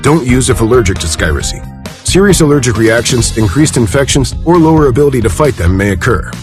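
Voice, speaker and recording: A man reading a scripted commercial with calm, measured delivery. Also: mid-range voice, over music. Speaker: ad voiceover.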